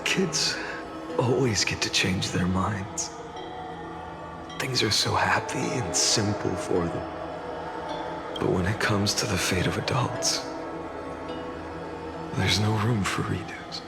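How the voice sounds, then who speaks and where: Game role: wistful, resolute young warrior. Low-pitched, creaky and breathy, earnest, measured delivery. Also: male voice. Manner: measured, earnest, heavy with pauses. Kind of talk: dramatic video game voice acting. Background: music behind the voice.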